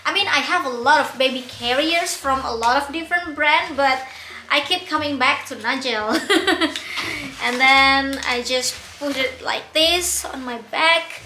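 Young woman, giggly unboxing vlogger, high bright voice, roomy echo with faint background noise. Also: unhurried. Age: young adult. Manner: bubbly, giggly, gushing. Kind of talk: product unboxing vlog. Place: bright indoor room.

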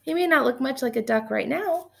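A woman in her thirties, a teacher reading a picture book aloud to children in an animated, gushing way, recorded on a webcam in a small room at home. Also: high-pitched, brisk, creaky, slight background noise. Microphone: webcam at desk distance.

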